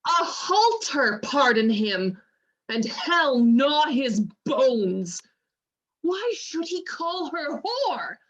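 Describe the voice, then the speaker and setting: A woman playing an outraged, sharp-tongued, loyal waiting-woman in a Shakespearean radio play, emphatic and scornful. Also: high-pitched, sing-song, drawn-out words, dull audio, full character voice. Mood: furious, scornful.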